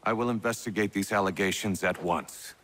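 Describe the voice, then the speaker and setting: Game role: authoritative commander, very low pitch, formal and measured. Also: male voice, a bit bright. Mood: grave restraint. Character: dignified, principled military commander. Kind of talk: video game cutscene acting.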